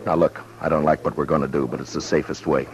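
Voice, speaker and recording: A weary American lawman of the Old West, with a very low voice and a grim, terse delivery. It is 1950s radio western drama on a muffled old broadcast. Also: fairly even intonation, quick pace, crowd noise. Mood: grim, instructing. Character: stern, weary male US marshal of the Old West.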